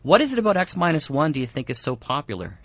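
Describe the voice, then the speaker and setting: A man's voice: fairly high pitch, huge pitch swings, fast, a bit bright, no room sound. A man hosting an audio drama show and interviewing a guest. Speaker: radio show host. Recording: dry, slightly muffled studio audio.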